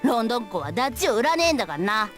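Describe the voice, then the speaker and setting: A woman's voice: on the high side, big pitch swings, racing. A woman voicing a cheeky, loyal street boy in a Japanese visual novel, with music behind. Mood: brash, defiant.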